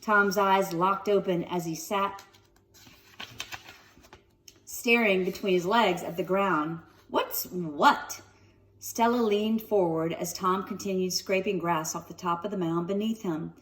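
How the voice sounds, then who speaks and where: Expressive woman reading a storybook, slightly distant. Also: mid-range voice, unhurried, a little hiss or hum. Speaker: storybook reader. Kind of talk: children's read-aloud narration with casual asides. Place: sunroom at home.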